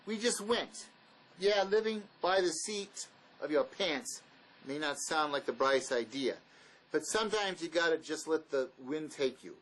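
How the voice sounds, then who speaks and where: A man's voice: a little high, a bit bright, rough recording. A man acting a bitter, blunt fugitive drifter in a dramatic script reading, sarcastic and cutting. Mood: blunt, impatient.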